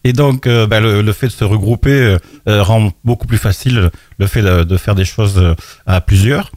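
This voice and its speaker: Older guy, southwest french accent, jokey radio guest, lowish voice, dry close sound. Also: moderate pace, darkish tone, no echo. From southwest France. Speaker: guest, association coordinator. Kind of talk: casual radio interview.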